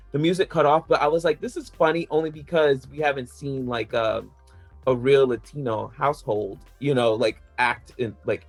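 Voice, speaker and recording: A man chatting casually on a podcast panel, rambling through his opinions with lots of "like" fillers and the odd laugh. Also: mid-range voice. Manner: rambling, heavy use of "like" fillers; laughs between points.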